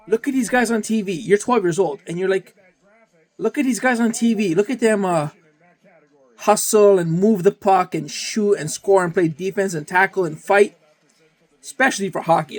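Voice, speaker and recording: A man's voice: sits high, normal speed. A young man from Canada, talking in a rambling, profane monologue and repeating himself often. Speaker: vlogger. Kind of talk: casual monologue.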